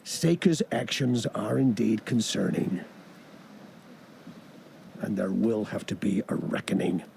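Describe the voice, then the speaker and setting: A commanding male admiral from a video game. His voice is extremely creaky and he takes his time, measured and authoritative. Faint noise sits behind him. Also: lowish voice, animated, darkish tone, exaggerated voice. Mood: grave, measured. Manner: deliberate, authoritative, formal. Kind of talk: video game cutscene acting.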